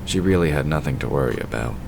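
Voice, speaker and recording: An extremely low-pitched man narrates a scripted fantasy story as the narrator of an audio drama. There is clear noise on the hobby recording. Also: expressive, quick pace.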